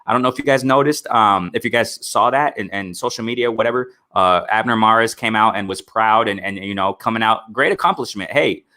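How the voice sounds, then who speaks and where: A man with a lowish but bright, forward voice, talking quickly as he hosts a live boxing chat. He rambles in a casual way, restarts his sentences and hedges with "if I'm not mistaken.". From Mexico, raised in the United States. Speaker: host of a live boxing stream.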